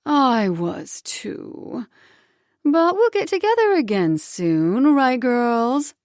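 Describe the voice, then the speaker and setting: A woman's voice: a little high, very animated, slow pace, a little mellow, no echo, studio quality, pitched above the performer's own voice. A woman voicing a cold, condescending ex-wife with a clipped, icy way of speaking, in an audiobook.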